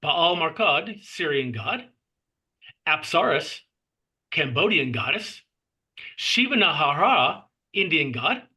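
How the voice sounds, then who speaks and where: A man narrates a screenplay in a fairly high, forceful voice with a husky grain. He delivers the stage directions in measured phrases and clips the scene headings short. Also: big pitch swings.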